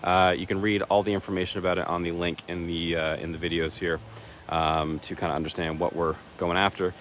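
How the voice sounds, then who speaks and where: Deep-voiced casual male vlogger outdoors. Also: level tone, a little fast, a bit bright. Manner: chatty, self-deprecating, rambling with fillers. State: slightly raspy voice. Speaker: horror contest vlogger. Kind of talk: casual vlog to camera. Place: outdoors in woods. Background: faint leaf blower and vehicle noise.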